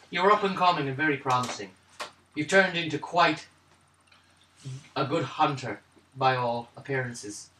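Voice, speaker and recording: A man's voice: medium pitch, measured pace, long pauses, pushed and bright. A man voicing a wry, knowing fantasy stranger who muses in riddles in a tabletop game. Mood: musing. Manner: cryptic, musing. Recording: roomy far-off tabletop recording, faint noise.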